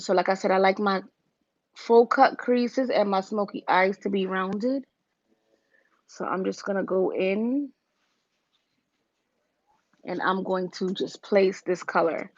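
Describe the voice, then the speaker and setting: A woman with a raspy voice, casually talking viewers through a makeup look on a livestream, step by step. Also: mid-range voice, takes it slowly, long pauses, no echo, highs cut off. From Virginia, US. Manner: chatty, talks viewers through each step. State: raspy, deeper voice than usual.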